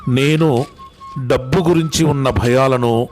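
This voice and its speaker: Slow-paced man guiding meditation over music. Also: medium pitch. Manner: calm, deliberate, instructing, repeats phrases with long pauses. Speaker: guided meditation instructor. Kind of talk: guided meditation. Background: music bed.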